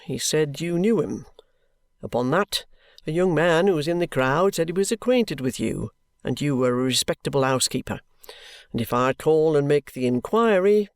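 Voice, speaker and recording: A reader voicing a stern, unyielding police constable who speaks slowly and firmly. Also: medium pitch, darkish tone, no echo, studio quality. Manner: firm, slow and weighty.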